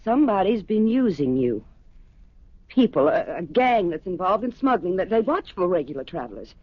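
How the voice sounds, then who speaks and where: An actress playing an anxious, devoted middle-aged wife in a 1970s radio drama, speaking urgently and falteringly. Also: female voice, lowish voice, big pitch swings, normal speed, breathy edge, no room sound, lo-fi sound. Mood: anxious, protective. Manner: urgent, faltering, earnest delivery.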